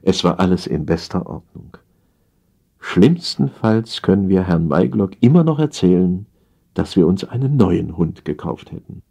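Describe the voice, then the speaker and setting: A man reading a humorous story as a scripted audiobook narration, in an expressive, theatrical storytelling manner. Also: low voice, unhurried, vocal fry, husky.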